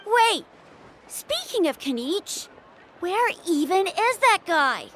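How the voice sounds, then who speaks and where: A woman's voice: very high-pitched, big pitch swings, takes it slowly, a bit bright, a little hiss or hum, full character voice. A woman voicing a young, loyal village boy in a video game, boyish, earnest and emphatic. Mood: eager, anxious. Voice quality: youthful, boyish.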